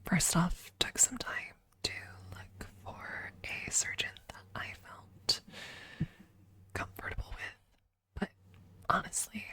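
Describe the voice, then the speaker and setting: A woman's voice: slow, pushed and bright. A woman streamer chatting casually and informally in an ASMR livestream, thinking aloud close to the microphone. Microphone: close microphone adjusted for ASMR.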